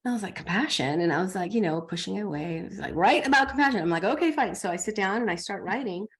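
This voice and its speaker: Animated woman coach, lively talk. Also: mid-range voice, rapid-fire. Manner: animated, acts out her inner dialogue. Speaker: coach and podcast guest. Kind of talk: conversational podcast interview.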